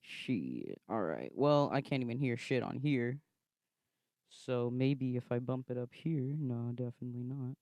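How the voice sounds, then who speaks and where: A young man hosting a casual solo podcast from inside his car, recorded on a phone, rambling and swearing freely in a thin voice without much bass. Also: animated, a little slow, vocal fry, darkish tone, no room sound. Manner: rambling, profane, laid-back with frequent fillers. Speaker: podcast host.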